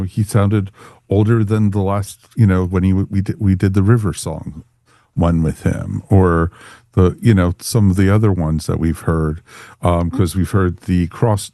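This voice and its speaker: A man's voice: deep voice, mellow, no echo, studio quality. A man hosting a casual review discussion, conversational and opinionated. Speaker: podcast host.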